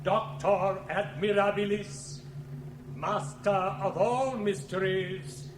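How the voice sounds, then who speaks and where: Theatrical illusionist: high voice, very slow, commanding, solemn invocations. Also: male voice, fairly even intonation, background noise. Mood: grand, invoking. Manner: theatrical, incantatory, measured proclamation. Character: grandiose stage magician commanding a solemn illusion show. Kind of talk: 1980 radio drama acting. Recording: echoey theatre effect, cassette radio transfer.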